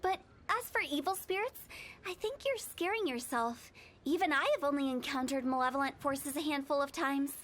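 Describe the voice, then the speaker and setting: A woman's voice: high voice, normal speed, fairly bright tone, slight background noise. A woman voicing a playful, teasing young woman who directs a funeral parlor, with a giggly, breezy manner, in game audio. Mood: earnest. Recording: game audio with background music.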